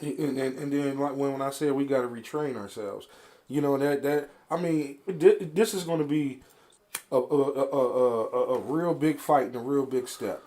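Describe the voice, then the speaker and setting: A man on a podcast panel in casual debate, halting and repeating himself as he insists. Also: mid-range voice, dark tone.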